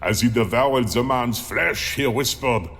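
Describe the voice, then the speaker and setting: A man playing a monstrous man-eater, reciting a grim rhyming memoir in a slow, theatrical, deliberate manner with long reverb. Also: medium pitch, a bit bright. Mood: grim relish. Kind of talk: theatrical rhymed storytelling.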